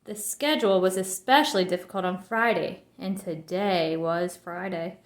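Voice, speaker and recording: A woman's voice: fairly low pitch, moderate pace, reverberant. A woman narrating a children's storybook as a scripted read-aloud.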